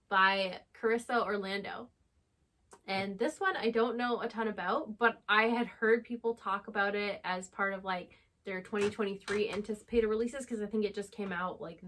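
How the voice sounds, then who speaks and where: Female co-host, medium pitch, conversational and unscripted book talk. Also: a bit bright. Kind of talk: casual unscripted book chat.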